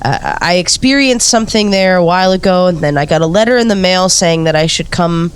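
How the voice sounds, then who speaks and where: Actress as eager female investigative reporter, slightly low voice, friendly, polite, hesitant, natural conversational delivery for audio drama. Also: animated, fairly quick, smooth, natural voice. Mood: earnest. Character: earnest, polite woman investigative journalist probing a haunting. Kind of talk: naturalistic audio play dialogue.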